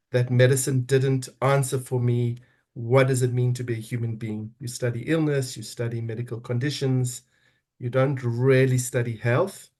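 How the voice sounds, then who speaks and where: A middle-aged man from South Africa with a South African accent, a podcast guest speaking reflectively and often restarting as he searches for words. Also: medium pitch. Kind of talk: reflective interview talk.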